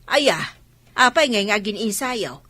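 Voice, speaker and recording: A woman's voice: medium pitch, lively intonation, a little slow, bright, forceful tone, no echo. A woman with an Ilocano Filipino accent playing a nosy, gossipy village woman in an audio drama. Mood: needling, nosy. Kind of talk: amateur audio drama acting.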